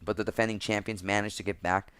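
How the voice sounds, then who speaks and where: A man with a slightly hoarse voice, giving casual football commentary as a picks vlogger and rambling, restarting his sentences often. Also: lowish voice, fairly even intonation, fast, pushed and bright.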